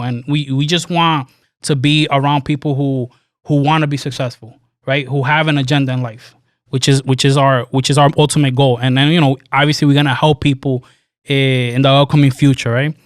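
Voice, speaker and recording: A man's voice: medium pitch, fairly even intonation, fairly quick, no room sound, studio quality. A man hosting a podcast, interviewing a guest in casual chat, rambling and repeating words, often tagging "man" and "right". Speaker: podcast host. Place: podcast studio.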